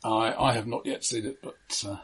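A man co-hosting a film podcast, talking casually in a measured, analytical way with hesitant phrasing. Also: lowish voice, fairly even intonation, a bit bright, a little hiss or hum.

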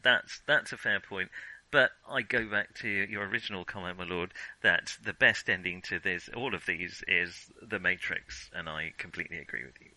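A man with a very bright, forward voice, recorded close and dry. He talks casually about films as a podcast co-host, airing opinions and reworking his sentences as he goes. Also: low-pitched, moderate pace, no room sound, rough recording. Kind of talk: casual film discussion.

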